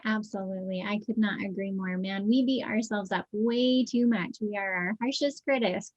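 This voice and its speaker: A woman's voice: mid-range voice, normal speed, darkish tone, muffled recording. A woman hosting an interview podcast as a business coach, enthusiastic and upbeat.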